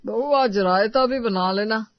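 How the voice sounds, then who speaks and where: A woman's voice: a little high, measured pace, dry recording, close to an everyday voice. A mild family elder, an older father-in-law, as a woman narrator puts him on, speaks without hurry. The story recording is a little dull. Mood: content. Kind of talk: Hindi moral-story character dialogue.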